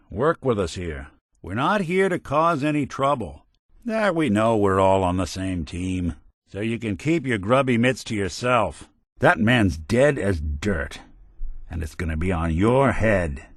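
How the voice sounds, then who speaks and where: A man's voice: fairly low pitch, dry recording, full character voice. An actor playing a world-weary male synth detective in a video game, delivering dry, sardonic quips in a noir drawl. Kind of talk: video game companion voice lines. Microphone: close studio mic.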